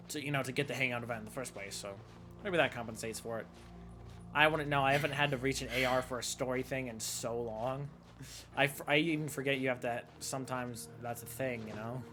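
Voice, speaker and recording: A young man giving casual, joking commentary as he plays through a game, often sarcastic and flippant. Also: mid-range voice. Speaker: game streamer. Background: game music.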